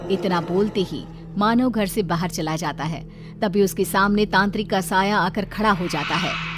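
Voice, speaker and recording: A woman's voice: medium pitch, moderate pace. A woman narrating a cartoon story from a script. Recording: faint music behind.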